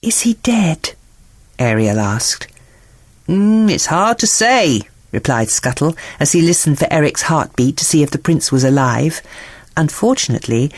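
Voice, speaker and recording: A woman narrating a scripted children's bedtime story in an expressive, theatrical storytelling manner. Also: rumbling low, breathy edge.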